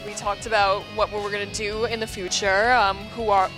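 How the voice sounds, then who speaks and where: A woman's voice: mid-range voice, little pitch movement, a little fast, music in the background. A young woman co-hosting convention coverage, speaking casually and hesitantly. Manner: hesitant, many ums and restarts. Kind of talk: casual chat and interviewing.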